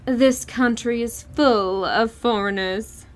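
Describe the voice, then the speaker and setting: An actress playing a snobbish, complaining middle-aged wife in an audio story, disdainful and imperious. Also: female voice, fairly high pitch, slow.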